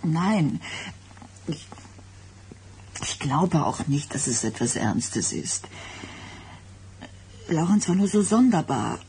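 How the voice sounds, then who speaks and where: An actress playing an anxious, self-doubting young expectant wife in a German radio drama, speaking in a halting, hesitant way. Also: female voice, sits low, sing-song, mellow, heavily acted. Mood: uneasy, hesitant. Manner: hesitant, halting, repeating words. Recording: radio play with background noise.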